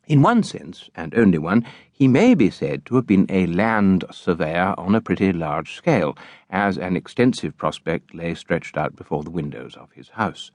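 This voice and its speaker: A man narrating a literary audiobook in a measured, theatrical, expressive style. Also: sits low, no room sound.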